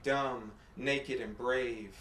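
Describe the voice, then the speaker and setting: A man reading poetry aloud in a measured, deliberate way, with pauses between short phrases. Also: medium pitch, steady tone, fairly bright tone. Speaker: poet reading own work. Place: apartment room at a live reading. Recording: echoey room, faint background noise.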